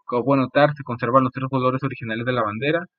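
A young man giving an informal history presentation in a halting way, often repeating his phrases. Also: mid-range voice, fairly even intonation, no room sound. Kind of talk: informal school-style history presentation over slides. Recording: muffled, phone-like narow band, dry.

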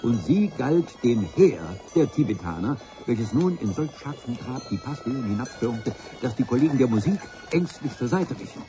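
Ein Schauspieler spielt einen hochtrabenden Abenteurer, der im Hörspiel feierlich und wortreich von seiner Expedition erzählt. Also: male voice, medium pitch, lively intonation, moderate pace, few pauses, music in the background, close to an everyday voice. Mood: grandiloquent. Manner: pompous, ornate storytelling. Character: grandiloquent male adventurer recounting his expedition. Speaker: narrator. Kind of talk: dramatic first-person radio play narration.

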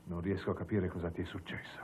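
An actor playing a suave, smooth-talking young man in a cartoon dub. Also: sits low, flat delivery, quick pace, darkish tone, close to an everyday voice. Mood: pensive. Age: young adult. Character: suave young man soothing his girlfriend. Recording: dull, faintly noisy dubbed audio.

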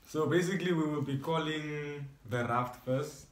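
High-voiced young Namibian man, noisy room. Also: normal speed. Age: young adult. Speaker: prank caller in a group video. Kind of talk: casual joking chat. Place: small living room, indoors. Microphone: camera a short distance away. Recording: noisy room recording, clicks.

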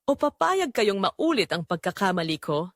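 A woman's voice: mid-range voice, big pitch swings, moderate pace, dry recording, clean studio sound. A woman playing a remorseful, heartbroken wife who narrates her own story in an emotive, confessional way, as in an audio drama. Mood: remorseful, sorrowful. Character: guilt-ridden wife Rosa, remorseful and heartbroken.

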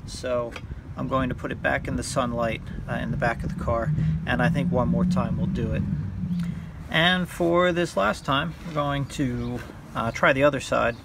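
Gravelly man giving an outdoor tutorial. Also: mid-range voice. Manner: halting, thinking aloud while working. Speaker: hobbyist demonstrating a repair. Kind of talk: unscripted hands-on tutorial. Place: outdoors by a car on a windy day. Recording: clear outdoor background noise.

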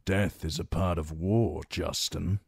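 A man voicing a stern knight who speaks firmly and calmly with authority. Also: low-pitched, slow, gravelly, slightly rough, dry recording, clean studio sound, heavily acted. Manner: firm, calm, authoritative.

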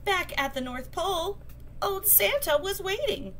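High, very lively woman reading to children. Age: middle-aged. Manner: sing-song, expressive, slow and deliberate delivery for young children. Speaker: storytelling teacher reading aloud. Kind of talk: children's picture book read-aloud. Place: small classroom or office, indoors. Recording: clear background room noise.

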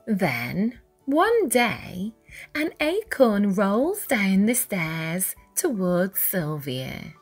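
A woman reading a children's picture book aloud with deliberate, dramatic phrasing for young listeners. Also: medium pitch, very animated, slightly rough. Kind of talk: expressive read-aloud storytelling. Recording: music behind the voice. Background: music.

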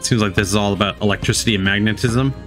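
A man's voice: lowish voice, fairly even intonation, very fast. A man streamer giving casual, blunt live reactions. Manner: blunt, sweary. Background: trailer music.

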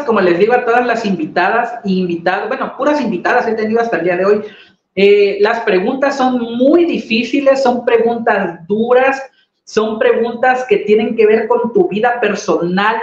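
A man's voice: high voice, moderate pace. A middle-aged man hosting a casual video-call interview, playful and teasing. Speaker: host. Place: small room indoors. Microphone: webcam on a video call. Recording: dull, roomy webcam sound.

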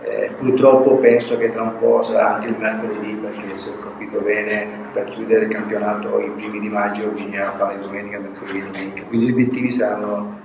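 An older man, a football coach, answering questions at a post-match press conference in a halting way, with frequent restarts and words that trail off. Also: on the low side, level tone, gravelly. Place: press room with a sponsor backdrop. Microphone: table mic on a stand. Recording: echoey room, mic at a distance, noisy.

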